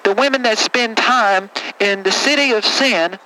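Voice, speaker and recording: Man putting on a high, prissy, pious scold. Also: measured pace, pushed and bright, a little hiss or hum, low-quality recording. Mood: sanctimonious. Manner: polite, hesitant, preachy, prissy. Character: prim, pious, disapproving scold of a churchgoer. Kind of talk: comic in-character banter.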